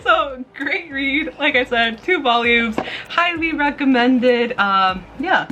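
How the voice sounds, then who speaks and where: A woman's voice: high voice, animated, slow pace, pushed and bright, slight background noise. A woman chatting casually to camera as a review vlog host, gushing, playful and informal. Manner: gushing, giggly, playful, informal with mild swearing.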